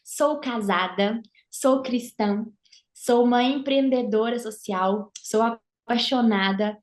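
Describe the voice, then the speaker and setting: A woman's voice: fairly high pitch, takes it slowly. Young woman with a Mineiro accent. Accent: Mineiro (Minas Gerais) Brazilian Portuguese. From Argentina, raised in Brazil. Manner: warm, reflective, with frequent filler "é" and "né". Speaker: guest, social entrepreneur. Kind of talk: casual interview conversation.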